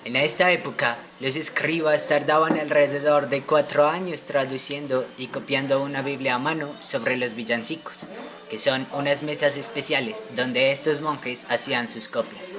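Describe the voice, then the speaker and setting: A man's voice: mid-range voice, fairly even intonation, normal speed, natural voice. A man playing a learned medieval teacher, explaining didactically from a script in an amateur educational radio play. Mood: didactic.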